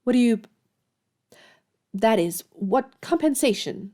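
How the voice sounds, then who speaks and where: A woman's voice: fairly high pitch, expressive, slow, mellow. An actress playing a proud, earnest young woman writer in a period audio drama, speaking formally and politely. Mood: polite, guarded.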